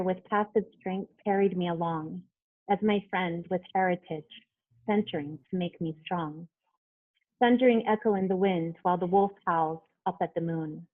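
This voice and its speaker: Woman reading on a tinny video call. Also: lowish voice. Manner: recites poem, then halting explanation. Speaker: artist. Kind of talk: poetry reading then casual explanation. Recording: phone-like video call audio.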